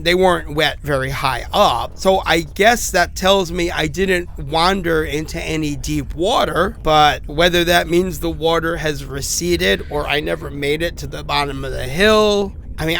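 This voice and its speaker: A man's voice: a little high, vocal fry, a bit bright, slight background noise. A man playing a sick, stranded man who rambles anxiously and wryly through recorded messages in a horror audio drama. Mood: frustrated, puzzled. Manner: rambling, conversational, hesitant, wry. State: sick with a cough.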